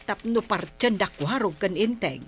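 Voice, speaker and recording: A woman plays a nosy village comadre in an Ilocano comic drama. She has a medium-pitched voice and an Ilocano accent. She talks in a chatty, neighbourly gossip style. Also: expressive, moderate pace, slightly rough, soft background music. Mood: gossipy. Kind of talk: comedic barangay radio drama acting.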